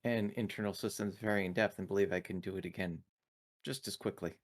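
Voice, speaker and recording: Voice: sits low, steady tone, fairly quick. A man voicing a dutiful spaceship engineer reporting in a formal, precise and deferential manner for a tabletop game. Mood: matter-of-fact, precise.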